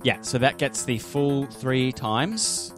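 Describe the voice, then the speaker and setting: A man narrates a tabletop game as its game master, with music playing behind him. His middle-pitched voice moves between vivid descriptions of action and casual rulings on the dice. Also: very animated, slow pace.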